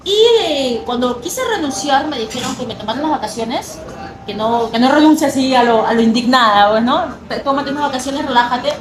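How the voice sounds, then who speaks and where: A young Peruvian woman with a Peruvian Spanish accent, chatting quickly and animatedly as an interview guest. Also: high-pitched, roomy sound, rough recording. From Peru. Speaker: guest, personal trainer. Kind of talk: casual interview.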